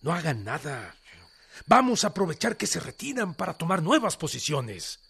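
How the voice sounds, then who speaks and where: Gravelly, commanding revolutionary general. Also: male voice, a little high, sing-song, normal speed, a bit bright, exaggerated voice. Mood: firm, rousing. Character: commanding revolutionary peasant general, shrewd and defiant. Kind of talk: Spanish-language radio drama acting.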